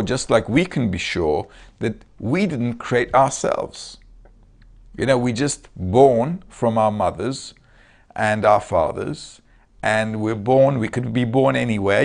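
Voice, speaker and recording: Turkish-accented male lecturer, gravelly mid-range voice, friendly check-ins with the audience. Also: mid-range voice, darkish tone. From Turkey. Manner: conversational, checks in with listeners often. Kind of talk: casual spoken lecture. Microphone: video call.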